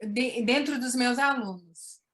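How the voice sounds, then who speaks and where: Brisk higher-voiced woman moderating and interpreting. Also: a bit bright. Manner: brisk, relaying phrases in short pieces.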